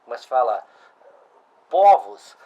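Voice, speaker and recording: A man's voice: medium pitch, sing-song, moderate pace, lo-fi sound. A middle-aged man, hosting a casual interview and asking follow-up questions. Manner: conversational, restarts and asks follow-up questions. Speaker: host.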